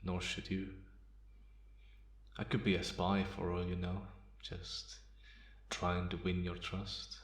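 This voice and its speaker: A man playing a bitter, guarded, centuries-old vampire in a slow ASMR roleplay monologue with measured pauses. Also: sits low, monotone. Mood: incredulous, guarded. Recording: roomy, echoing sound.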